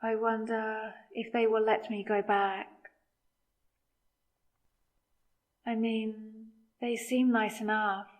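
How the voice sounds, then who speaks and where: Woman performing a sarcastic fledgling vampire, slow pacing, close dry sound, hesitant, conversational, ASMR audio drama. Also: a little high, little pitch movement, no echo, lightly acted. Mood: wry musing. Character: newly turned young woman vampire, sarcastic, rattled, defiant. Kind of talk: scripted ASMR audio roleplay.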